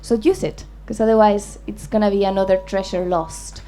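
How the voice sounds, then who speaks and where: A woman from the Basque Country, a native Basque speaker, giving an unscripted answer on a panel in an earnest, deliberate way. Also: mid-range voice, steady tone. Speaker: poet on a festival panel.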